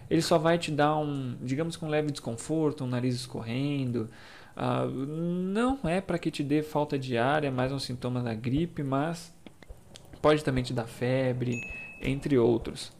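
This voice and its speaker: Young brazilian man, channel host, casual and chatty, mid-pitched voice, faint hiss behind. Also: darkish tone. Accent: Brazilian Portuguese. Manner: chatty, informal, explains with fillers and asides. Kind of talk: casual explainer video.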